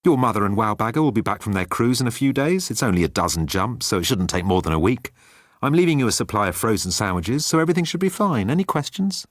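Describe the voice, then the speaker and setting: A man's voice: lowish voice, big pitch swings, quick pace, no echo, studio quality, pitched above the performer's own voice. Man playing a fussy, restless dad. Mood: brisk, reassuring. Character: restless fussy human father seeking escape.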